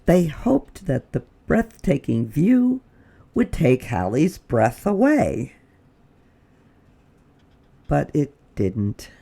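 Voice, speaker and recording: Slow, expressive woman reading aloud. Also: sits low, gravelly. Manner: expressive, dramatic pauses, drops to whispers. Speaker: storytime reader. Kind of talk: children's book read-aloud.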